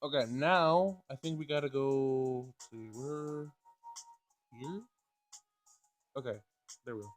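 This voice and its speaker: A man doing a casual game playthrough, reading dialogue aloud and thinking out loud in informal, slangy language. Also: mid-range voice, takes it slowly, long pauses, faint music behind. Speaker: let's play gamer.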